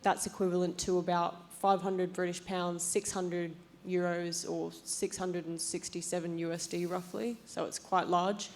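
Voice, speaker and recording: A woman's voice: fairly low pitch, flat delivery, moderate pace, hardly any pauses, darkish tone. A young Australian woman giving a prepared presentation as a panelist. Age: young adult. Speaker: panelist, transport policy advisor. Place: conference assembly stage, indoors.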